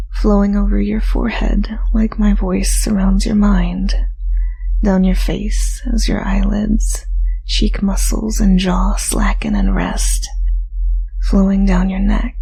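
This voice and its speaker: A woman reading a scripted hypnosis induction as a hypnotist, talking softly and slowly and often sliding into a breathy whisper. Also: medium pitch, rough recording. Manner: soft, slow, breathy whispering. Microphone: studio mic, binaural.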